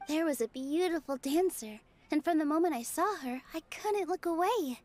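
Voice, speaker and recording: A woman voice-acting a gentle, earnest young dancer in a video game, speaking softly and sincerely. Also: high-pitched, animated, moderate pace. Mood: earnest, reminiscing. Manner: soft, sincere, reflective storytelling. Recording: game dialogue over clear music.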